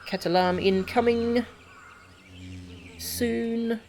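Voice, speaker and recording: A woman's voice: fairly high pitch, steady tone, extremely slow, a little mellow, a little hiss or hum. A woman chatting casually to viewers as she streams a game, in an easygoing, chatty manner.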